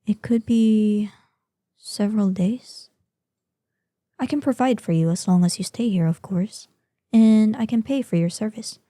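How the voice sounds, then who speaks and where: A woman playing an ancient, proud and curious female dragon in an audio roleplay, speaking softly in formal, old-fashioned phrases. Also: medium pitch, no room sound, natural voice. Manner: formal, archaic, deliberate phrasing. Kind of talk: scripted audio roleplay addressed to the listener.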